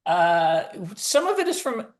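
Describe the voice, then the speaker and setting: A man's voice: high-pitched, big pitch swings, unhurried. A man speaking as an author guest in a casual interview, explaining his craft in a talkative, wry manner.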